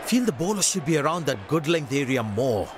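A man giving brisk, measured cricket commentary lines for a video game. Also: medium pitch, big pitch swings. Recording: loud game noise behind.

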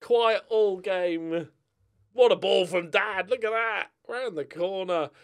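A man's voice: high-pitched, takes it slowly, clear, resonant tone. A middle-aged man giving casual, sweary commentary on a football manager game, talking to his players and viewers into a close mic. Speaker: gaming streamer. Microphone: close desk microphone.

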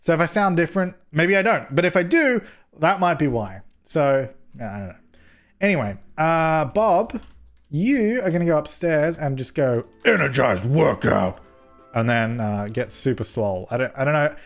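A young man with a slightly hoarse voice from a cold, giving jokey, chatty commentary while playing a life-simulation game and scolding his characters, recorded on a headset mic at home. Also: fairly high pitch, lively intonation, normal speed, soft background music. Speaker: gaming YouTuber. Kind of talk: casual let's-play commentary.

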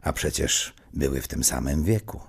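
A man playing the wry storyteller of a comedy audio play, reading scripted narration in a gravelly voice. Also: rumbling low, lively intonation, normal speed, exaggerated voice.

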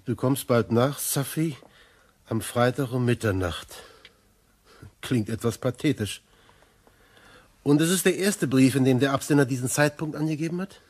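An actor as a husky, brisk police inspector. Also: male voice, mid-range voice, darkish tone, natural voice. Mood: probing, dry. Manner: matter-of-fact, authoritative. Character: brisk, probing, dry Scotland Yard police inspector. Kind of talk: German crime radio play acting.

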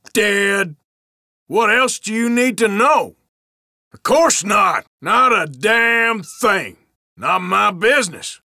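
Forceful, drawling Old West outlaw. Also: male voice, high voice, vocal fry, no echo, studio quality, full character voice. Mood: irritated, defiant, then feigning ignorance. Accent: American Western. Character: suspicious, defiant Old West outlaw man. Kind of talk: video game character voice lines.

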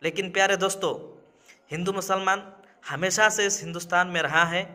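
A man in his thirties speaks to camera as a commentator. His voice is high and sharp-edged, and he drives his points home emphatically, often putting them as questions. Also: fairly even intonation, moderate pace. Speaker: video commentator. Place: small indoor room with brick wall.

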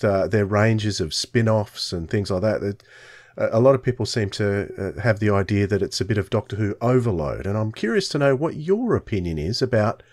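Man, middle-aged, lower pitch, hesitant phrasing, casual podcast hosting, dry close sound. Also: no room sound. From Australia. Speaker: podcast host. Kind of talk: casual chat. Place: indoors on a video call, close dry sound.

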